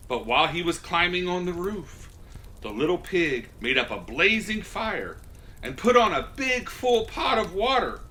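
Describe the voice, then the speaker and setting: A man's voice: on the high side, pushed and bright. A man reading a children's story as Santa, in a folksy, theatrical way.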